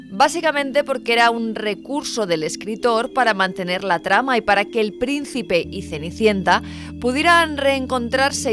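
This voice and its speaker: A woman narrating a light scripted list video in a playful, wry, questioning manner. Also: fairly high pitch. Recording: music behind the voice. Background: music.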